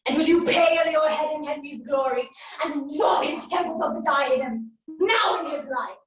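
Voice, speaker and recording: High-pitched, vengeful warrior queen, acted by a woman. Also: lightly acted. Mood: scornful, vengeful. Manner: theatrical, taunting, drawn-out delivery with swings. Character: vengeful, mocking warrior queen taunting a captive. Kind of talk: classical Shakespearean stage monologue. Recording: echoey room, distant microphone.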